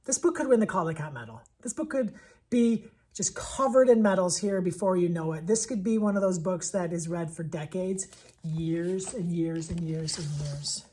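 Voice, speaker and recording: A woman with a husky voice talking as an elementary school teacher in a casual vlog, enthusiastic and conversational, leaning on repetition for emphasis. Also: lowish voice, moderate pace, dark tone, a little hiss or hum. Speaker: elementary school teacher presenting picture books. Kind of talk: casual vlog book recommendations.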